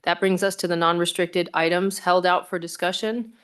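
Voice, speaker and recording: A woman's voice: on the low side, fairly even intonation, moderate pace, a bit bright, clear, resonant tone. A woman chairing a formal public meeting in a procedural, courteous manner. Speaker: commission chair.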